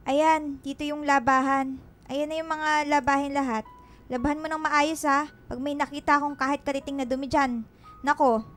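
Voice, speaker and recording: A woman's voice: high voice, level tone, normal speed, faint music behind, lightly acted. An actress playing a haughty, bad-tempered Filipino household employer in a radio drama, curt and scornful as she scolds. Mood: scornful, scolding. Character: haughty, bad-tempered female household employer who belittles her laundrywoman.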